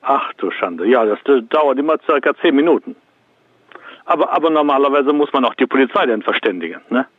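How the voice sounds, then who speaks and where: A man's voice: mid-range voice, lively intonation, brisk, no room sound. A man giving casual, matter-of-fact advice over the telephone as a seller, tagging his sentences with small colloquial questions. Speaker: shop seller answering a customer's call. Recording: tinny narrow phone line.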